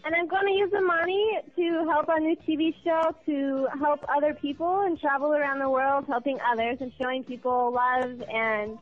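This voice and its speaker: A woman's voice: high-pitched, fairly even intonation, moderate pace, hardly any pauses. A woman chatting casually on the phone, eager and compliant, as a contest participant. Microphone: phone, partly on speakerphone. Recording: muffled lo-fi phone call with music.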